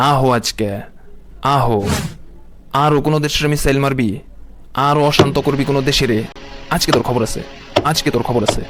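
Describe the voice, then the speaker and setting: A man's voice: mid-range voice, natural voice. A man voicing a menacing, vengeful cartoon leader with a Bangladeshi accent, threatening and repeating himself in comic satire. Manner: threatening, hectoring, repetitive. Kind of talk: comic animated satire dubbing.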